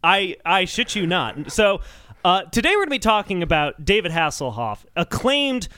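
A man's voice: high voice, big pitch swings, normal speed, bright, forceful tone, clear, resonant tone, no echo. A man hosting a comedic podcast, chatting casually with a jokey, mock-serious delivery. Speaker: podcast host.

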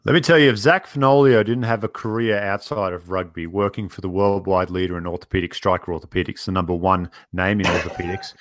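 A man's voice: deep voice, fairly even intonation, fast talker, few pauses. A man hosting rugby studio commentary with jokey, promotional asides. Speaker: studio host.

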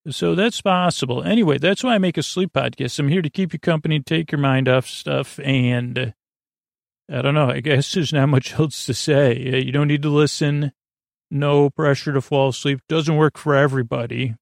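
Guy doing a sleep podcast, lots of vocal fry, dry sound, halting rambly delivery, keeps losing his train of thought. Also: medium pitch, no echo. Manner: meandering, halting, absent-minded, trailing off mid-thought. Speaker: podcast host.

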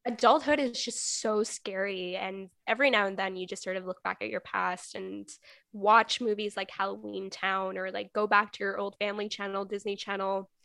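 A woman's voice: mid-range voice, steady tone, normal speed, creaky, a bit bright, no echo. A woman in her early twenties, whose first language is Punjabi, chatting casually as a podcast guest and reflecting as she talks. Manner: chatty, reflective, lots of "like" and "right?".

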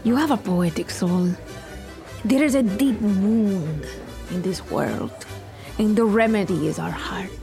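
An actress playing a volatile, theatrical TV producer in an audio drama, with an emphatic, profane, menacing way of talking. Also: female voice, mid-range voice, warm tone. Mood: grandiose, sentimental. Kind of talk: audio fiction acting.

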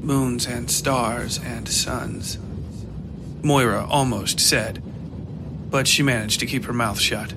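A man reading dark fiction as a scripted narration. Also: medium pitch, fairly even intonation, takes it slowly, noisy background.

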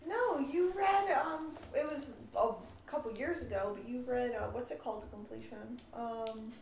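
A woman with a high voice chats casually as a guest. She is recorded in an echoey room, with noticeable noise and clicking around her. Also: moderate pace, vocal fry. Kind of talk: casual chat. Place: indoor room, live-streamed session.

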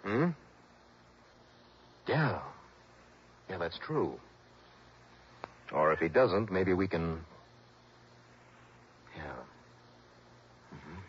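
An actor playing a shrewd, urgent private investigator who thinks aloud in a 1960s radio drama. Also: male voice, sits low, very animated, extremely slow, pauses often, heavily acted. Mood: musing, probing. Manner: clipped, musing, thinking aloud. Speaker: lead actor. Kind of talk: 1950s-60s radio detective drama acting. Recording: dull, hissy old radio recording.

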